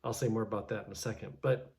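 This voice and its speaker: A man's voice: on the low side, steady tone, fast, creaky, a little mellow. Man musing casually. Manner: conversational, many restarts and fillers. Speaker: pastor. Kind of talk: casual devotional reflection.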